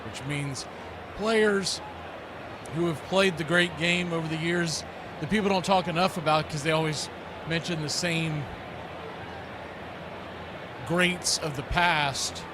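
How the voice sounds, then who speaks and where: A man's voice: a little high, slow pace, long pauses, background noise. An American man hosting a live football watch party, chatting casually and in a folksy way as he previews the game.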